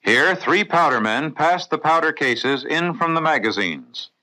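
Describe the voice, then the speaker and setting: A man with an American accent reading scripted mid-century instructional film narration for the navy in a clear, methodical, authoritative way. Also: a little high, lively intonation, a little slow, bright, forceful tone, low-quality recording. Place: voice-over recorded in a studio.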